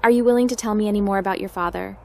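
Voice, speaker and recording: A woman's voice: medium pitch, fairly even intonation, racing, vocal fry, mellow, slight background noise, close to an everyday voice. A woman playing a young hacker captain in a video game roleplay, direct and inquisitive.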